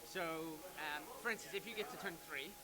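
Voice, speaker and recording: Male organizer, measured explainer, slightly high voice, busy crowd behind. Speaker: event organizer. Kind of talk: explaining in casual talk. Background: crowd chatter.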